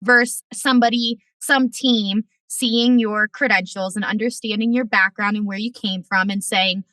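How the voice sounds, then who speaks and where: A woman podcast host with a raspy voice, talking in an outspoken, emphatic way in casual conversation. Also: medium pitch, steady tone, moderate pace, bright, forceful tone, dry recording, recorded from a distance. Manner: outspoken, swears freely, emphatic.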